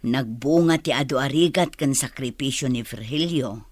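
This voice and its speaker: A woman's voice: extremely low pitch, moderate pace, no echo. A woman with an Ilocano Filipino accent playing an outspoken, disapproving older relative in a radio drama. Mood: questioning.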